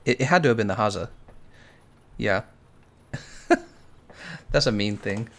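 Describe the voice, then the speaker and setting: A man's voice: lowish voice, measured pace. A young man with an American accent, chatting casually on a game stream, answering viewers and laughing often. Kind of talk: casual live-stream commentary.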